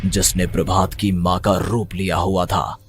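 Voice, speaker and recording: A man's voice: low-pitched, level tone, measured pace, slight background noise. A man narrating a scripted horror cartoon story in a dramatic storytelling manner.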